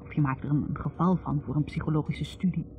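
An actress playing a wounded, bitter young academic woman confessing in a halting, accusing way in a 1960s radio drama. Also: low voice, steady tone, faint music behind. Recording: tinny narrow-band 1960s radio recording.

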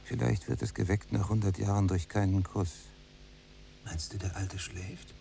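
An actor playing a brooding young prisoner in a radio drama, speaking in hushed, measured, near-whispered lines. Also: male voice, fairly even intonation, gravelly. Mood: hushed, wary. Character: young male prisoner, brooding, watchful cellmate. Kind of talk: literary radio drama, hushed dialogue and monologue. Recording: noisy recording with low hum.

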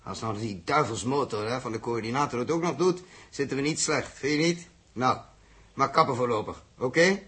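An actor playing a brisk, wry male crewman aboard a spaceship in a sixties radio drama. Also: mid-range voice, fairly bright tone, lightly acted. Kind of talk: 1960s radio drama acting.

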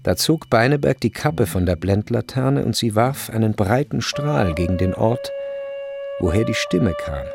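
A man's voice: fairly low pitch, lively intonation, a little slow, vocal fry, over music. A man reading scripted literary narration in a radio drama.

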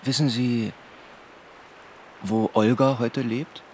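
An actor in a German radio drama playing a proud, elusive convicted professor who speaks with measured defiance. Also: male voice, mid-range voice, fairly even intonation, darkish tone, a little hiss or hum. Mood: guarded, defiant. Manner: measured, deliberate.

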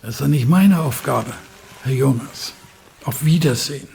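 An actor playing a dismissive, formal bureaucrat in a radio drama, creaky and curt. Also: male voice, mid-range voice, normal speed, mellow. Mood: officious, dismissive. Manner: officious, curt.

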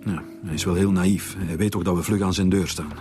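A man acting as an officious Flemish police director in a radio serial, low-voiced and fast. He is cautious and formal, with a little music behind. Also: steady tone, darkish tone, close to an everyday voice. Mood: brisk, official.

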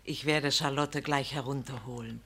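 An actress playing a shrewd, gossipy, affectionate elderly provost's wife in a radio drama, with a husky voice. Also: female voice, very deep voice, fairly even intonation, normal speed, bright, forceful tone, heavily acted. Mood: suspicious, concerned. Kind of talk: German radio play acting.

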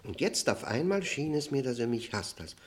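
An actor playing a haunted, agitated man confessing his past in a German radio drama, halting and brooding, swinging from emphatic outbursts to near whispers. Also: medium pitch, sing-song, close to an everyday voice. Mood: troubled, intense.

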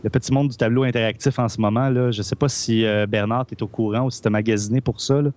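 A man's voice: mid-range voice, steady tone, fast talker, a little mellow. A man with a Québécois French accent hosting a casual podcast chat, hesitant, with many fillers and restarts. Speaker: podcast host.

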